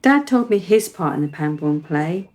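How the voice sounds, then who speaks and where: A woman's voice: fairly low pitch, lively intonation, moderate pace, darkish tone, natural voice. Woman playing a precocious, forthright young girl. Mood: insistent. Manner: articulate, formal wording, insistent. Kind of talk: radio play acting.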